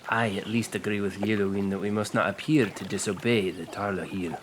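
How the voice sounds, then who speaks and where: An actor playing a composed, cautious knightly leader who speaks formally in a fantasy audio drama. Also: male voice, on the low side, steady tone, fairly quick, slight background noise, close to an everyday voice. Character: courteous, cautious knightly leader of a questing party.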